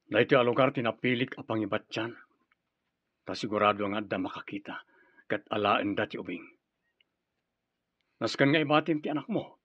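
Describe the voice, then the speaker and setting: Stern ruthless boss, radio drama, lowish husky voice, commanding, dull recording. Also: male voice, fairly quick, long pauses, no echo. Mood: insistent. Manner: commanding, severe. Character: stern, ruthless leader of an armed group. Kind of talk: radio drama acting in Ilocano.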